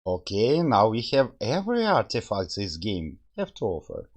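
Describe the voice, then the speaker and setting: A man's voice: fairly low pitch, wildly swooping pitch, normal speed. A man giving casual, playful gameplay commentary as he reviews a game, sounding amused. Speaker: game reviewer playing along.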